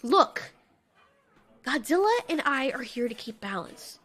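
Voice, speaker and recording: A woman's voice: sits high, big pitch swings, a little slow, fairly bright tone, full character voice. A woman playing a regal female moth monster in an audio drama, stern and protective, speaking in a firm, composed way. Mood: firm, argumentative.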